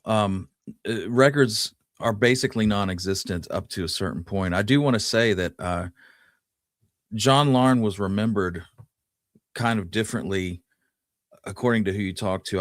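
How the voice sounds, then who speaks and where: A man's voice: on the low side, no echo, clean studio sound. A man co-hosting a podcast, talking through history in a casual, laid-back way. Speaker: podcast co-host.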